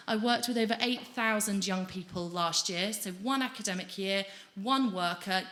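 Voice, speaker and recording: A woman's voice: mid-range voice, a bit bright. A young woman giving an earnest, emphatic conference presentation at a lectern microphone. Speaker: conference speaker, schools anti-homophobia worker. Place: auditorium stage at a lectern, indoors. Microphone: gooseneck lectern microphone.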